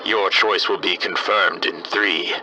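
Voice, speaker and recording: A man's voice: sits low, steady tone, takes it slowly, bright, forceful tone, full character voice. A man playing an impersonal, stilted announcer for a cursed device, in 1940s radio style. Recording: noisy old-radio style sound.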